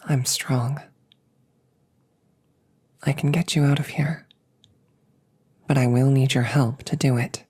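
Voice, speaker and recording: A woman plays a female combat android with a very deep, dark voice. The machine speaks softly and formally, calm and protective, soothing the listener in slow, measured phrases. It is intimate roleplay audio drama. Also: exaggerated voice. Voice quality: soft, breathy, often near a whisper.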